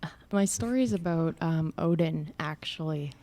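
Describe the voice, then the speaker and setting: A young woman, a graduating student, talking earnestly and quickly in a casual interview. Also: low voice, dark tone.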